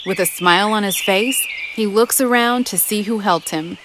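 A woman narrating an animated children's story in a clear, even storytelling manner. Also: mid-range voice, normal speed, background noise. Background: music.

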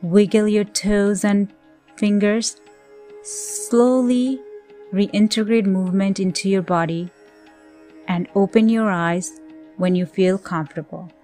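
A woman leading a scripted guided meditation in a gentle, soothing, measured way with pauses. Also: mid-range voice, steady tone. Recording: voice over background music. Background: music.

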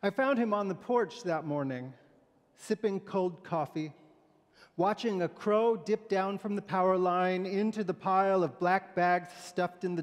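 A middle-aged man speaks from a pulpit in a notably high voice for a man. He preaches and reads in a gentle, conversational, slightly halting way, with the long reverb of a large church around him. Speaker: pastor. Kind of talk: sermon with poem and scripture readings, conversational.

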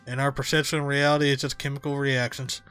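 A man reading the lines of a calm, brainy young male bear in a video game, low and matter-of-fact. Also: quick pace, smooth, soft background music, natural voice. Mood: deadpan.

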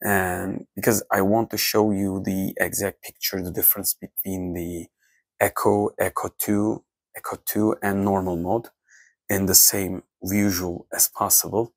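Man, gravelly. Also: low voice, little pitch movement, no room sound. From Turkey. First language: Turkish. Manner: halting, many restarts and fillers. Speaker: tech reviewer. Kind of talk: off-the-cuff menu walkthrough.

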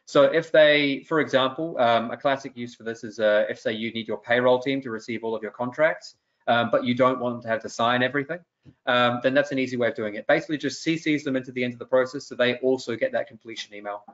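Dull-sounding young man presenting a software walkthrough. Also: medium pitch, quick pace. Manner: explanatory, informal, with frequent ums. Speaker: webinar presenter giving a software demonstration. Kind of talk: conversational instructional walkthrough. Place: indoor room, on a video call. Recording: dull, lo-fi webinar audio.

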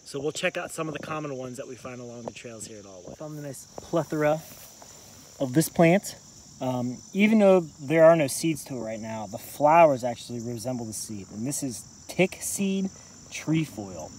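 A man's voice: medium pitch, animated, normal speed, darkish tone, slight background noise. A man in his thirties, an environmental educator, giving a casual, easygoing lesson on a walk through woods and meadow, filmed on a phone held at arm's length. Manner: easygoing, explanatory, loose filler words. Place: outdoors in woods and trail meadow. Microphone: phone camera at arm's length. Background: faint crickets and insects.

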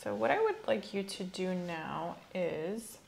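A soft-spoken woman giving gentle, clinical instructions in an ASMR medical exam roleplay. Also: fairly low pitch, lively intonation, a little slow, vocal fry, a little hiss or hum. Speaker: host playing a medical examiner. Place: small indoor room set up as exam office.

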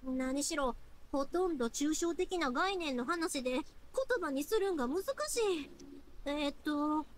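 A woman voicing a bright, earnest young fireworks maker in an anime game, heartfelt and folksy, with a Kansai accent. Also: high voice, animated, a little slow. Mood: puzzled. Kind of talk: Japanese anime game voice acting.

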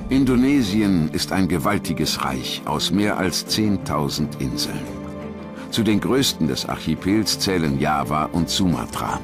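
A man narrates a documentary in a very deep voice. His delivery is scripted, measured and solemn. Music plays clearly behind him throughout. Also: very animated.

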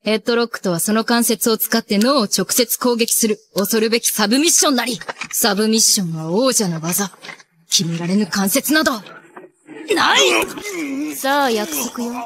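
A woman's voice: fairly high pitch, expressive, normal speed, vocal fry, a bit bright, slight background noise. A woman voicing a ruthless, imperious young princess and wrestler in an anime, speaking in haughty, archaic commands. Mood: menacing, triumphant. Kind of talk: anime voice acting.

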